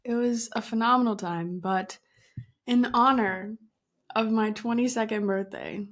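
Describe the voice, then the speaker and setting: A woman of twenty-two hosting her own podcast, chatting casually with giggles, emphatic pep talk and mild swearing. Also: mid-range voice. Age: 20s. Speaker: podcast host.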